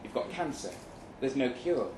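A man reading a first-person short story aloud dramatically, with measured delivery and deliberate pauses. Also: medium pitch, expressive, darkish tone. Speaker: short story reader at a live event. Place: event room in a pub. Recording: roomy, distant mic with faint noise.

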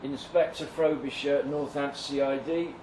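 A man playing a formal, deadpan police inspector, reading stiff officialese at a live story event. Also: mid-range voice, a little slow, lightly acted. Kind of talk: dramatic monologue read at a live story event. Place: live reading in a pub function room. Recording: echoey room, distant mic, noisy ambience.

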